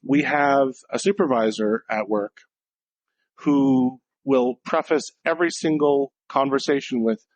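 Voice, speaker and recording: Brief: a man of medium pitch, a blunt and direct podcast co-host, dry close sound. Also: measured pace, dry recording. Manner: direct and blunt, acting out little dialogues in different voices. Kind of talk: casual conversational podcast.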